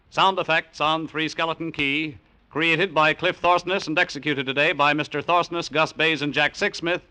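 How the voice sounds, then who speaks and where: Bright-voiced American radio announcer man. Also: on the high side, poor audio. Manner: formal, polished delivery. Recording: vintage radio broadcast recording.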